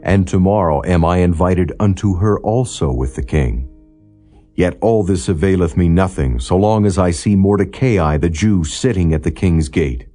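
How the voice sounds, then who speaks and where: A man's voice: sits low, moderate pace, mellow, faint music behind. A man voicing a proud, boastful, resentful royal courtier in a scripture audiobook, formal and self-important.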